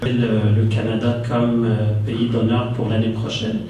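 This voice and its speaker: A man's voice: mid-range voice, monotone, measured pace, warm tone, a little hiss or hum. A man born in Morocco, giving a hesitant conference talk full of fillers and restarts. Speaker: panel speaker. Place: large conference hall. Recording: echoey hall, distant mic.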